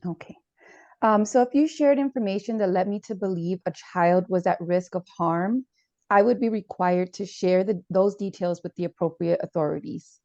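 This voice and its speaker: A woman's voice: medium pitch, moderate pace, warm tone, no room sound, highs cut off, natural voice. A woman playing a student therapist in a role-played counseling session, explaining policies formally and hesitantly, with many fillers. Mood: calm, formal.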